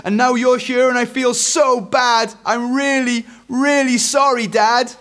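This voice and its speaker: A British man voicing a cheeky, guilt-ridden young boy in a children's story, excitable and pleading. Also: sits high, brisk, pitched above the performer's own voice. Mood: remorseful outpouring.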